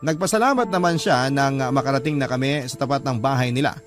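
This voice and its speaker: Casting: sincere Filipino storyteller played by a male actor, mid-pitched, earnest and reflective, sentimental radio love story over clear music. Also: quick pace, close to an everyday voice. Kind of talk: sentimental scripted radio narration. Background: music under the narration.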